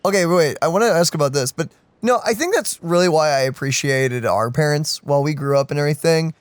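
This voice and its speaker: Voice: mid-range voice, brisk, no room sound. A let's play commentator chatting casually and playfully, rambling informally while reacting to a game. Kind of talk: casual gameplay reaction chat. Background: faint game music.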